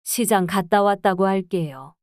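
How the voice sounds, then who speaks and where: A woman plays a hesitant housekeeper in her sixties in a storytelling audio drama. Her voice is smooth and mellow, soft and deferential, with no room sound. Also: mid-range voice, moderate pace, no echo, studio quality, lightly acted.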